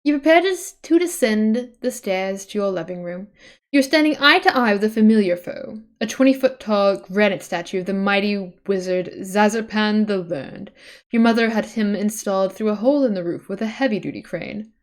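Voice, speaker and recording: A woman's voice: mid-range voice, normal speed. A woman streamer reading a webcomic aloud, mixing dramatic narration with casual, chatty asides.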